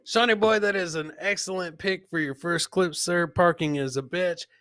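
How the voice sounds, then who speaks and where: A man's voice: high voice, moderate pace, pushed and bright, dry recording, clean studio sound. A man hosting a casual comedy show, commenting on clips and often repeating phrases for emphasis. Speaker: podcast host.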